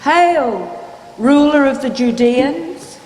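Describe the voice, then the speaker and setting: A woman's voice: high voice, expressive, slow, a little mellow, a little hiss or hum. A woman playing defiant accusers and crowd voices in a dramatic scripture reading, emphatic and declamatory. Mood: mocking. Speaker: reader in a church dramatic reading. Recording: echoing church hall, long reverb.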